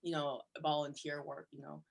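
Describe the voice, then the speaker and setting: A woman's voice: low voice, monotone, moderate pace, vocal fry. A young woman from Korea, a surgical resident, chatting casually in an interview and filling her answers with "you know" and "like". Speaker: plastic surgery resident.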